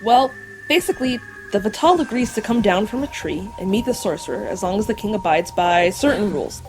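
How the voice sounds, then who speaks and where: A woman's voice: mid-range voice, animated, brisk, darkish tone, faint music behind, close to an everyday voice, low-quality recording. A woman playing an earnest, bookish lover of legends in an audio drama, precise and explanatory. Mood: storytelling.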